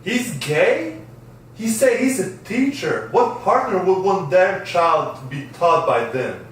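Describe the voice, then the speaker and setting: A man's voice: high-pitched, sing-song, slow, heavily acted. A man reading the part of a dismissive father at a script table read, in an echoey room far from the mic. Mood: disapproving. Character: gruff dismissive working-class father of a teenage boy. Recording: echoey room, distant mic, faint noise.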